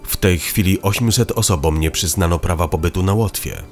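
Deep-voiced male news narrator. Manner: even, measured reading. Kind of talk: scripted news voice-over. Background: faint background music.

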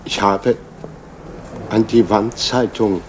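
Hesitant postal clerk. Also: male voice, fairly low pitch, steady tone, slow, darkish tone, slight background noise, low-quality recording. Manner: hesitant, apologetic. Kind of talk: radio crime drama.

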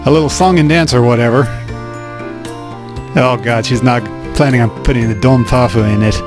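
A man's voice: medium pitch, normal speed. Casual, wry man narrating a game. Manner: casual, wry, dry humor. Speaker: let's play host. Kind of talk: translating and commenting on a game. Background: game music.

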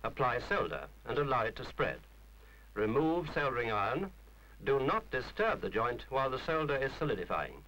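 A man's voice: mid-range voice, very animated, normal speed, bright, forceful tone, poor audio. A man reading a scripted instructional film narration in a formal, precise, didactic manner.

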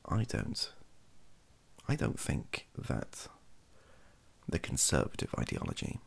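A British man talks in an extremely low voice, heavy with fry. He speaks softly and hesitantly, rambling and rephrasing as he goes, with a husky edge to the sound. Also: wildly swooping pitch. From United Kingdom. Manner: hesitant, halting, self-correcting. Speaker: ASMR video creator. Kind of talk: softly spoken improvised rambling talk. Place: small indoor home room.

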